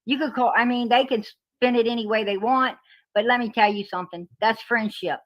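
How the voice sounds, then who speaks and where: A woman's voice: a little high, steady tone, a little fast, no room sound. Woman, blunt, indignant, emphatic, dry muffled lo-fi sound. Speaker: panel guest. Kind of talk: heated livestream panel talk.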